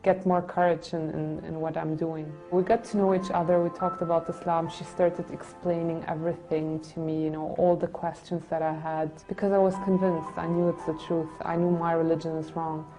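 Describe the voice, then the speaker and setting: A woman's voice: low voice, little pitch movement, normal speed, hardly any pauses, over music, low-quality recording. A woman in her twenties from Germany giving an earnest, reflective personal testimony in unscripted speech. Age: 20s. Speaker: religious convert telling her story.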